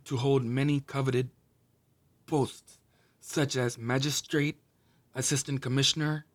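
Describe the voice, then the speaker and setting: Male podcast host, casual and chatty, friendly questions, mixes in Urdu. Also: mid-range voice. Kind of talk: casual interview.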